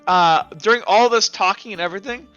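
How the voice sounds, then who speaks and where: A man running a tabletop roleplaying game as game master, narrating off the cuff in a halting, conversational way. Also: sits high, bright, forceful tone, clear, resonant tone, highs cut off. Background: music.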